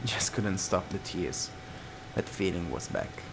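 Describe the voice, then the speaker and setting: Low-voiced man narrating a visual novel. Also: animated, unhurried, creaky, noisy background. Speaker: let's player. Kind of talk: dramatic visual novel read-aloud with casual asides. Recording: guitar music behind.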